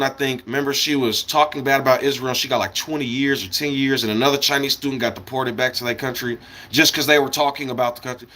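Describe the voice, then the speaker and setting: Bright-voiced, blunt American man. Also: mid-range voice. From United States. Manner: blunt, opinionated, profane. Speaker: live streamer. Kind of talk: casual rambling livestream monologue.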